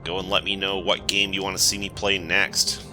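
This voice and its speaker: Man, low voice, very bright tone, has a cold, casual gameplay commentary. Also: moderate pace, soft background music. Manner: relaxed, thinking aloud while playing. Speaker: gaming channel host. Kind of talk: casual let's-play commentary.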